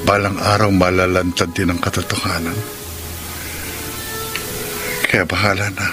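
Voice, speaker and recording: An actor with a Filipino accent playing a secretive, guarded husband with something to hide, in a radio drama. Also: male voice, sits low, little pitch movement, unhurried. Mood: brooding, calculating. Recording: heavy music bed over voice.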